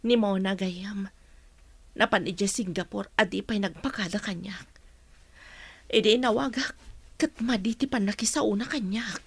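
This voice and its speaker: A woman's voice: medium pitch, unhurried, long pauses, slightly rough, no echo. A Filipino woman playing a sorrowful, patient mother in a radio drama. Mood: hurt. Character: sorrowful, patient mother worried about her child. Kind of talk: Ilocano radio drama acting.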